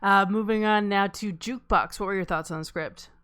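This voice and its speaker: A woman's voice: mid-range voice, brisk, a bit bright, clean tone. A woman casually moderating a live feedback panel as its host. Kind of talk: casual live stream hosting.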